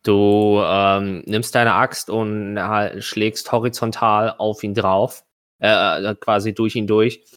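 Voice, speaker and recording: A man's voice: on the low side, little pitch movement, takes it slowly, fairly bright tone, smooth, no room sound, studio quality. A man running a tabletop game, improvising narration and rulings, often searching for his words. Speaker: game master.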